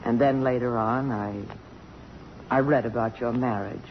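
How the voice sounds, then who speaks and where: Casting: poised, sharp-witted middle-aged female politician, earnest and crisp, 1950s radio drama, dull noisy recording. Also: rumbling low, fairly even intonation, a little slow. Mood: wistful, guarded. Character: self-possessed, sharp-witted congresswoman in love, middle-aged.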